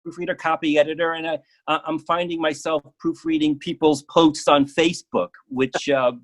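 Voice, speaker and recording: Middle-aged man, halting and conversational. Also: fairly high pitch, steady tone. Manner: halting, repeats words as he thinks aloud. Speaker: interview guest, playwright and proofreader. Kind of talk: casual conversational interview.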